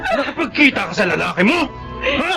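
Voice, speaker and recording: Casting: violent, jealous husband, high and very animated, shouting threats in a melodrama over clear music. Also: male voice, a little fast, exaggerated voice. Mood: enraged accusing. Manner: shouting, accusing, menacing. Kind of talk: melodramatic radio-style drama acting.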